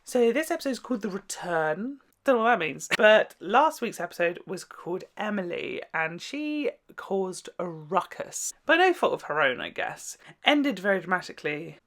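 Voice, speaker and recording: A man's voice: high voice, big pitch swings, moderate pace, hardly any pauses, fairly bright tone. A man giving casual reaction commentary as a host, with gossipy, exclamatory asides.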